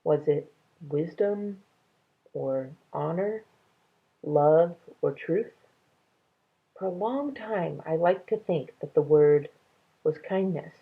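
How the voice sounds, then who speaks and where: A gentle old husband read by a woman, weary and thoughtful, in a low, husky voice. He speaks softly and slowly, on a thin, tinny recording. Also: expressive, exaggerated voice. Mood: soft, reflective. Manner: soft, slow, thoughtful.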